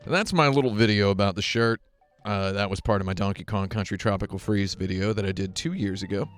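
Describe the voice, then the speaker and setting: A man hosting a casual live game stream, talking with playful, deadpan asides. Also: lowish voice, brisk, soft background music.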